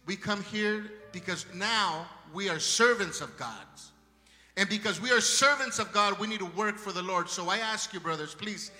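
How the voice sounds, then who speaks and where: A man with a strong Spanish accent preaches to his congregation with fervent, ringing calls of praise. His voice sits high and sounds strikingly bright. The recording carries the long echo of a church hall, heard from a distance. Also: moderate pace, soft background music. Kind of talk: sermon.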